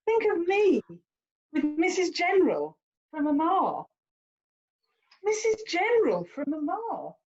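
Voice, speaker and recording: A woman's voice: very high voice, sing-song, slow, long pauses, darkish tone, highs cut off, exaggerated voice. A woman playing a haughty, worldly young lady in a period drama, with an emphatic, condescending, theatrical delivery. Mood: indignant. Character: haughty, worldly young English lady, teasing older sister. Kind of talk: stage-style period drama dialogue.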